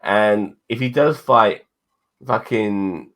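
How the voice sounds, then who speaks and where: A man's voice: on the low side, takes it slowly, fairly bright tone. A man in his forties, co-hosting a casual chat, rambling and swearing often as he talks. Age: 40s. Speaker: podcast co-host.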